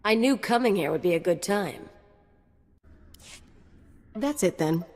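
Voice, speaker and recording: A woman's voice: mid-range voice, lively intonation, measured pace, a little mellow, faint music behind. An actress voicing a cocky, reckless female mercenary with a sardonic, flippant manner. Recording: game audio with long reverb.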